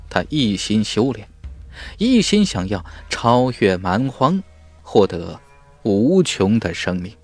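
Slow, swooping male audiobook narrator. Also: medium pitch. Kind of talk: scripted dramatic fantasy narration.

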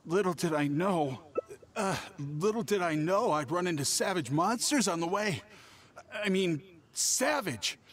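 A man's voice: high voice, lively intonation, a little slow, fairly bright tone. A man voicing a nervous, cowardly traveling merchant in a video game, stammering and given to exaggeration. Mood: shaken.

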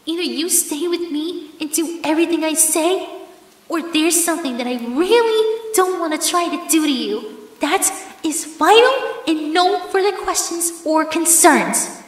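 High-pitched possessive yandere girlfriend, drenched in echo. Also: lively intonation. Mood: menacing. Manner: sugary endearments, slow and theatrical, commanding. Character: possessive, menacing yandere ex-girlfriend haunting a mansion. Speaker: voice actress in audio roleplay. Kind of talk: ASMR horror roleplay acting. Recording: echoey, long hall-like reverb.

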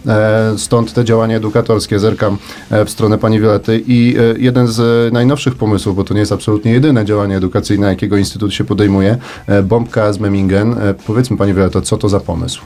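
A man hosting a broadcast interview in a conversational, searching way, building long questions with pauses. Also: lowish voice, level tone, mellow. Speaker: interview host. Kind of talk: broadcast interview, hesitant phrasing.

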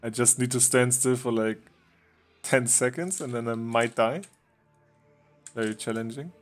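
A man casually chatting with a friend while they play a game together, relaxed and joking, laughing now and then. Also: medium pitch, unhurried, faint music behind. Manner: relaxed, joking, chuckles often. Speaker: game streamer. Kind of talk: casual gaming chat with a friend.

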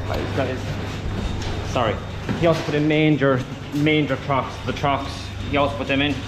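A man in his twenties with an Irish accent, chatting casually as a dairy farmer filming a vlog while he works. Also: mid-range voice. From Ireland. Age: 20s. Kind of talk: casual farm vlog chat while working. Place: large metal-roofed milking parlour. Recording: echoey milking parlour, loud machinery noise.

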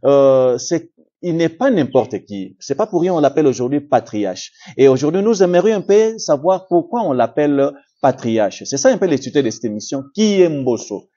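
A man with a Congolese French accent hosts a television talk show, his voice dark and mellow. He hesitates often, filling gaps with "euh" and repeating himself. The recording sounds somewhat dull and lo-fi. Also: a little high, very animated, normal speed. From Democratic Republic of the Congo. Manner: hesitant, many "euh" fillers, repetitive phrasing. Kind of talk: TV studio interview.

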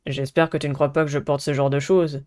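A man voicing an aloof, sardonic teenage schoolboy in a dating game, with a cool, flat delivery. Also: on the high side, brisk, close to an everyday voice. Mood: dismissive.

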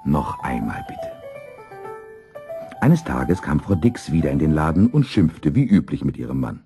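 A man narrating a scripted children's story as an expressive storyteller. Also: extremely low pitch, moderate pace, over music.

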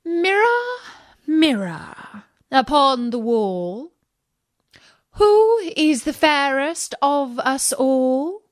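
A woman's voice: high-pitched, sing-song, drawn-out words, no room sound, clean studio sound, voice pushed up, heavily acted. A woman voicing a vain, wicked fairy-tale queen, chanting imperiously in a children's storybook. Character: vain wicked queen addressing her magic mirror.

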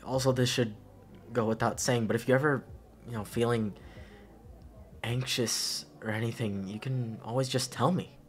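A young man playing an affectionate, goofy best friend at a party in an intimate audio roleplay, halting and repeating himself in casual slang. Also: mid-range voice, fairly even intonation, natural voice. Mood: reassuring, tender.

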